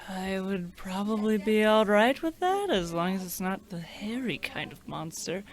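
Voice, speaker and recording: A woman playing a blunt, unimpressed, pill-dulled wife in a comic radio play, deadpan and belittling. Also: mid-range voice, slow. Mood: weary, indifferent. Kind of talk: comic radio play acting in old radio show vein.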